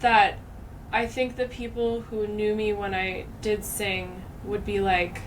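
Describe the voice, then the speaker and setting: Woman hosting a podcast, thinking out loud, lots of likes, mic kinda far, noisy room. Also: medium pitch, little pitch movement, takes it slowly. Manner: reflective, many fillers. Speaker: podcast host. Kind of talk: casual chat. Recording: distant mic, background noise.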